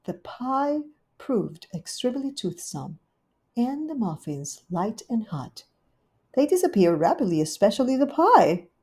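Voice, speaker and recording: A woman reading a classic children's story aloud in a gentle, clear storytelling manner. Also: fairly high pitch, big pitch swings, a little slow. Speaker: storybook reader.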